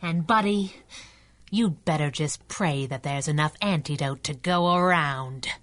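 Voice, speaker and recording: A woman's voice: deep voice, measured pace. A woman playing a plucky, wisecracking superhero sidekick in a 1930s-style radio drama. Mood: accusing. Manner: breezy, wisecracking, slangy.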